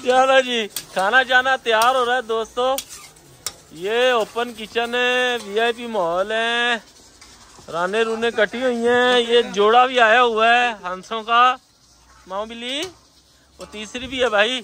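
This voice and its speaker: Guy vlogging food at night, high bright voice, jokey banter. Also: steady tone, slow pace. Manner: chatty, jokey banter with friends. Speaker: vlogger host. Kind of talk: casual food vlog chat. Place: outdoor restaurant open kitchen at night.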